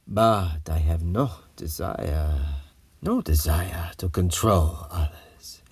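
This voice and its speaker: A man's voice: low-pitched, husky, no room sound, heavily acted. A man playing a proud, cold, genetically superior warlord in an audio comic, speaking in a formal, grandiose manner. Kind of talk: dramatic audio comic acting.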